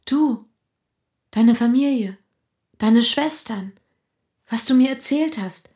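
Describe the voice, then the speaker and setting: An actress playing a curious confidante in a radio play, asking intimate, probing questions. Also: female voice, fairly high pitch, slow pace, dark tone, soft background music. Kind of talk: naturalistic German radio play acting.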